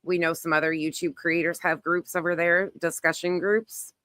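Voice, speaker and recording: A woman's voice: lowish voice, level tone, moderate pace, a bit bright, dry recording, studio quality. A woman hosting a casual live online commentary, emphatic and repetitive in phrasing, talking through what she does as she browses. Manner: narrates own actions while browsing. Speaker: YouTube creator, host.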